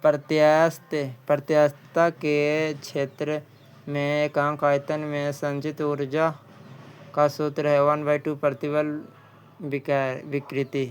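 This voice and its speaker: A man from India working as an exam-prep tutor, reading quiz questions and answers aloud, haltingly and often repeating phrases. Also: a little high, monotone, smooth.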